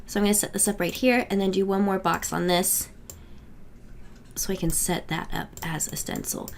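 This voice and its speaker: A woman's voice: mid-range voice, fairly even intonation, moderate pace, creaky, slight background noise. Woman with a cold-weakened voice. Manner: chatty, with frequent fillers and thinking aloud. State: weak voice from getting over a cold. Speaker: crafting tutorial host. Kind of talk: casual step-by-step tutorial.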